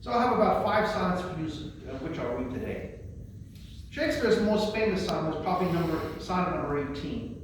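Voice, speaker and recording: A man's voice: on the high side, animated, a little fast. A man giving a spoken literary presentation as a librarian, with a theatrical, declamatory delivery. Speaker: librarian presenting a poetry reading. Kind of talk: spoken presentation and recitation of poems. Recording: very echoey room, distant mic, faint noise.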